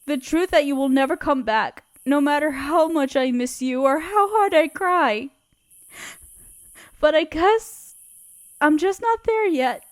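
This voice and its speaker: Woman doing a sniffling grieving lover, high voice, slow hushed delivery, tender. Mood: tender resolve. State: sniffling, tearful. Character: grieving young woman writing to a lost love. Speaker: audio roleplay performer. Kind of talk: intimate audio roleplay reading a letter.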